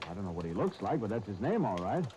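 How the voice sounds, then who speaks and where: An actor playing an earnest, patient defender in a 1930s radio serial, reassuring and plain-spoken, on a noisy old broadcast disc. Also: male voice, lowish voice, sing-song, fast, mellow. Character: earnest, patient male defender calming an excitable associate.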